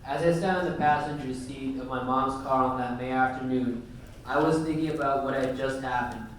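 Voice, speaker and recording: A man's voice: mid-range voice, fairly even intonation, normal speed, a little hiss or hum. A teenage boy reading his essay aloud steadily in a workshop. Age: teens. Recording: echoey room from far off.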